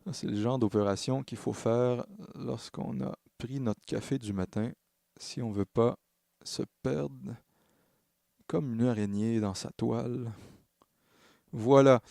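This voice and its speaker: A man narrating a software tutorial as a music production tutor, explaining in a halting way and correcting himself as he goes. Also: mid-range voice, vocal fry, no echo, clean studio sound. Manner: hesitant, halting phrasing with self-corrections.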